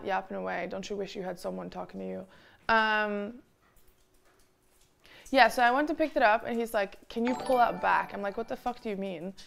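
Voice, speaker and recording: A woman's voice: medium pitch, creaky. A woman in her late twenties chatting casually on a live stream from her home kitchen, teasing and swearing freely. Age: late 20s. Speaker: streamer co-host.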